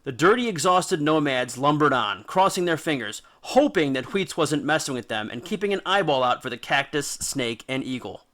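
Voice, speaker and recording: A man tells a tale as a playful storyteller. His voice is fairly high and very bright, with a forceful edge. He delivers scripted narration in casual wording, with theatrical punch and dramatic pauses. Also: sing-song. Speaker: host and narrator of a mythology series.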